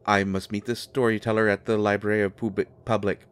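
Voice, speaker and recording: A man playing a pompous, eccentric oddball who poses as human, with a grandiose, halting, theatrical delivery in a fantasy audio drama. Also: on the low side, level tone, clear, resonant tone, lightly acted. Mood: awkward, self-assured.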